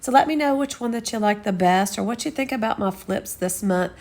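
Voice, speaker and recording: A woman with a Southern US accent, slightly hoarse and scratchy from a cold, chatting through craft steps as a channel host. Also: mid-range voice, a little fast, a little mellow. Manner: chatty, homey, explains each step. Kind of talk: casual DIY voice-over.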